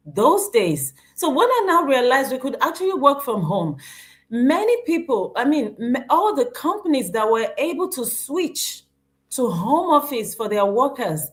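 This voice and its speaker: A woman hosting a live stream, speaking in a measured, earnest way with some restarts. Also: on the high side.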